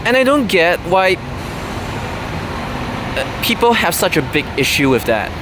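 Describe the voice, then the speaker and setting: A man's voice: high voice, big pitch swings, a little slow, noisy background. A man talking casually to camera in an unscripted, rambling way, full of fillers and restarts. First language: English. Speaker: vlogger.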